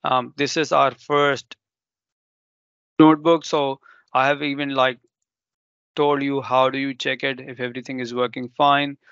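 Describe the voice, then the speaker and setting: A man's voice: mid-range voice, little pitch movement, a little slow, long pauses, fairly bright tone, clear, resonant tone, no room sound, dull audio. A man whose first language is Urdu, presenting a live technical demo and explaining step by step with ums and self-corrections.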